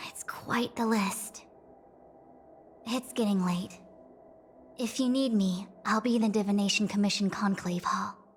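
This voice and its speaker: Dutiful female game official voiced by a woman: mid-pitched, formal, dry and composed, faint ambience. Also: fairly even intonation, a little slow, long pauses, a little hiss or hum, natural voice. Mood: weary, businesslike. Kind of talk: English video game voice acting.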